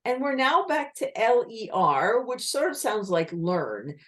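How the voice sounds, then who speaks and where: A woman presents an online talk on communication, speaking into a video call microphone. Her pitch rises and falls a great deal as she explains. She keeps putting rhetorical questions to her listeners. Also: big pitch swings, unhurried. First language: English.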